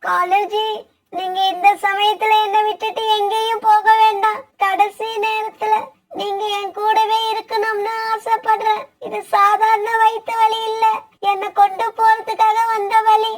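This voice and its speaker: A woman's voice: very high-pitched, level tone, measured pace, pitched above the performer's own voice. A woman voicing an aging female crow expecting her first egg in a cartoon, speaking in a plaintive, pleading way. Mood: pained, pleading. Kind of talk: cartoon voice acting.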